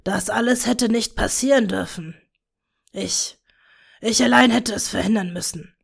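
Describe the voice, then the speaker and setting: A woman voicing a remorseful dark wizard father, speaking softly in a broken whisper. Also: mid-range voice, fairly even intonation, moderate pace, vocal fry. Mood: mournful. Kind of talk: fan fiction reading.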